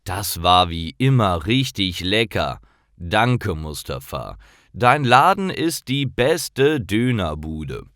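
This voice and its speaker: A man voicing an earnest, friendly construction worker in clearly enunciated storybook dialogue for learners. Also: on the low side, very animated, takes it slowly, a bit bright, dry recording, studio quality, full character voice. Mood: grateful. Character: hard-working, appreciative male construction worker, hungry regular customer.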